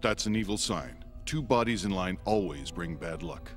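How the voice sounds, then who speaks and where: A man's voice: sits low, expressive, normal speed, over music. A man playing a grave, fatalistic alien who believes in omens, speaking portentously in an English anime dub. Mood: solemn, foreboding.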